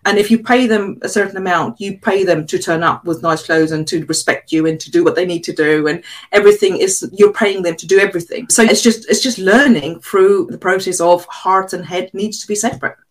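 A woman's voice: mid-range voice, a little fast, hardly any pauses. A woman from South Africa whose first language is not English, a cleaning business coach giving candid, direct answers in an interview.

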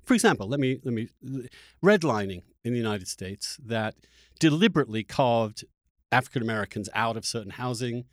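A man's voice: mid-range voice, lively intonation, no room sound, studio quality. A man hosting an interview, talking through political ideas in an articulate, argumentative way, often restarting as he searches for words. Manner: halting, searching for words with repeated starts. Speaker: interviewer, podcast host. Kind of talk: conversational political discussion.

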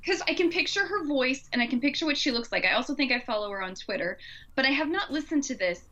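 A woman's voice: sits high, animated, fast talker, highs cut off, crowd noise. A woman co-hosting a podcast, chatting casually in an agreeable, conversational way. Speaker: podcast co-host. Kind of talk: casual chat.

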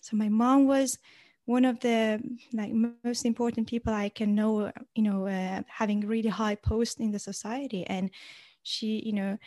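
A young woman from Iran, a guest in an interview, speaking natively and answering in a halting way with many fillers and restarts. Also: a little high, fairly even intonation, dark tone, dry recording. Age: young adult.

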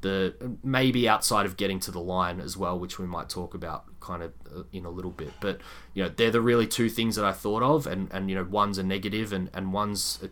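A man's voice: low voice, a little fast, fairly bright tone. A man co-hosting a sports podcast, talking through analysis casually and at length, with explanatory asides.

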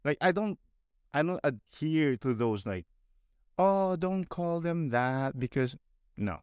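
A man's voice: a little high, expressive, slow pace, darkish tone, smooth, no echo, clean studio sound. A young Filipino man with a Filipino accent, talking bluntly and animatedly on a casual podcast, swearing and mocking other people in put-on voices. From Philippines. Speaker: podcast guest.

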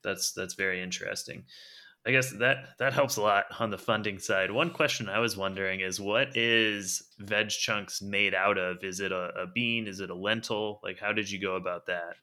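A man hosting a podcast interview, asking curious, slightly halting questions. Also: on the low side, steady tone, pushed and bright. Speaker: podcast host.

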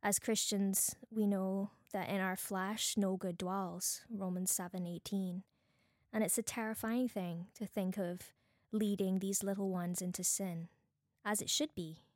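A woman with a Bajan accent giving an earnest, deliberate devotional teaching talk as a host, mixing prepared points with personal reflection. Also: mid-range voice, fairly even intonation, a little mellow, no echo, studio quality. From Barbados.

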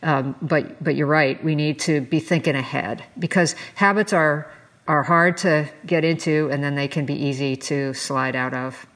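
A woman's voice: deep voice, fairly even intonation, normal speed, fairly bright tone. An American woman talks as a podcast co-host in an explanatory, conversational style. She lays out policy matters informally. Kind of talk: explanatory discussion of environmental policy.

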